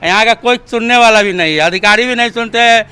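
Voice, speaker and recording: A man's voice: very high-pitched, fast talker, music in the background. A man, a local resident, complaining in an unscripted news soundbite.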